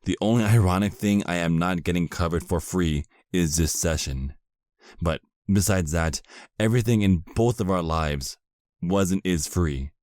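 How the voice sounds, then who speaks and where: Low-voiced, aggrieved vampire husband, played by a man. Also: moderate pace, a little mellow, no room sound, studio quality, natural voice. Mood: aggrieved venting. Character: aggrieved, put-upon vampire husband, adult male. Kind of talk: comedic audio roleplay acting.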